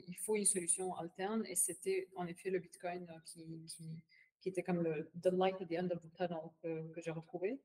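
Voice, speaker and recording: A woman from Palestine whose first language is Arabic, talking as a podcast guest in a halting, filler-heavy way and slipping English phrases into her speech. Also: lowish voice, dark tone, rough recording. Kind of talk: casual interview chat.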